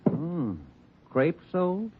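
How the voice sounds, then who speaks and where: Plain-spoken detective partner, thin sound. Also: male voice, sits high, expressive, slow, narrow, tinny audio. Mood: musing. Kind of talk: 1950s radio crime drama acting. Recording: thin, faintly noisy old radio recording.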